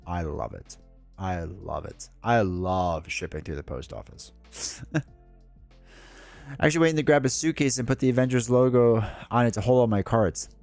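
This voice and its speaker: A stuffed-up man with a cold talks on and on in a loose, chatty ramble, his fairly low voice swinging up and down with lots of life. He drifts from aside to aside, filling gaps with ums and uhs as he sets up a board game on a live stream. Soft music plays faintly underneath. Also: lively intonation, a little slow, gravelly. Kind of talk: casual live-stream chat.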